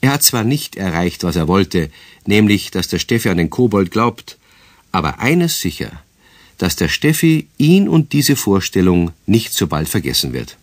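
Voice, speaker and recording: Male narrator for radio play: low voice, lively intonation, close dry sound. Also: moderate pace, dry recording.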